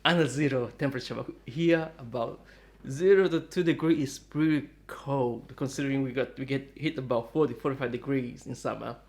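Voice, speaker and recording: Fifties male host, rambling and friendly, mid pitch, lots of fillers. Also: fairly quick, a little mellow. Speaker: guitar dealer and channel host. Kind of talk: casual chat to camera. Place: home in Melbourne, Australia.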